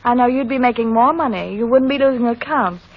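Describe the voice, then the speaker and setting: Restless society wife in old radio play, kinda high and husky. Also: female voice, brisk. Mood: peevish, defensive. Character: restless, self-centered society wife, a reluctant mother. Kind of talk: old time radio drama acting. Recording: muffled old radio recording.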